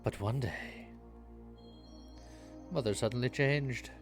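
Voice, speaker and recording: A man's voice: medium pitch, slow, soft background music. A man reading video game story text aloud on a live stream, mixing dramatic narration with joking casual chat.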